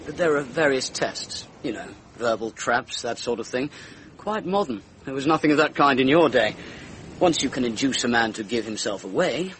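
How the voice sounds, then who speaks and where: An actor playing a British police inspector in a radio drama, matter-of-fact and slightly patronising. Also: male voice, medium pitch, lively intonation, normal speed, a bit bright, a little hiss or hum, close to an everyday voice. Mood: smug. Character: brisk, condescending, modern-minded male police inspector.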